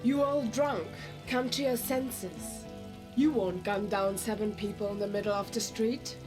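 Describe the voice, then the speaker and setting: Game mediator, female actor: mid-pitched, firm, authoritative, clear music and rain behind. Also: measured pace, close to an everyday voice. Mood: stern. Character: firm, reasoning woman confronting armed drunks.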